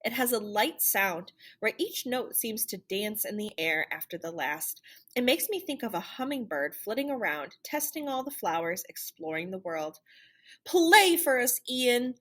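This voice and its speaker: A woman reading a children's picture book aloud in an animated, theatrical storytelling manner. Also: medium pitch, moderate pace. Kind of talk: expressive children's read-aloud narration.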